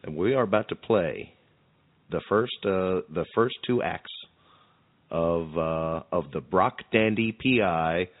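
A man's voice: deep voice, takes it slowly. A man co-hosting a podcast in casual, joking chat with a wry manner. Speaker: podcast co-host. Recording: narrow phone-like sound.

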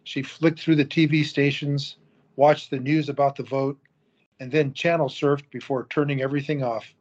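A man narrating an audio drama in a measured storytelling manner. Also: mid-range voice, level tone, a little mellow. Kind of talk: scripted narration. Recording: dull lo-fi recording.

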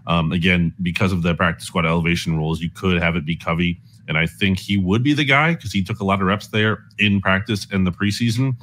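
Low-pitched man giving casual football analysis. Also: a little fast, hardly any pauses, a bit bright, slight background noise. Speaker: guest sports analyst.

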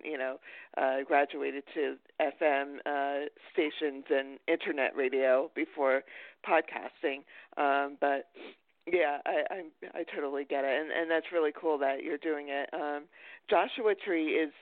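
A man chatting casually as a podcast interviewer, with a halting, rambling delivery. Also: fairly high pitch, dry recording. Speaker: podcast host. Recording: thin phone-like line.

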